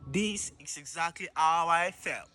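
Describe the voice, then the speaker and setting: A man performing a reflective, dramatic spoken-word monologue in a solemn, deliberate manner with long pauses. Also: fairly high pitch, sharp tone, soft background music.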